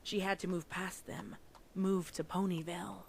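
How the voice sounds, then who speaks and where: A woman's voice: fairly low pitch, little pitch movement, normal speed, creaky, slight background noise. A woman narrating a story in a scripted fan fiction reading.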